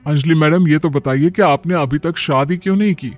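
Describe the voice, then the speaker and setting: High-pitched, arrogant male suitor, acted by a man. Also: a little fast, soft background music, natural voice. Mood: prying. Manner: condescending, prying. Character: arrogant, money-minded suitor in his mid-thirties. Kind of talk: animated moral story dialogue.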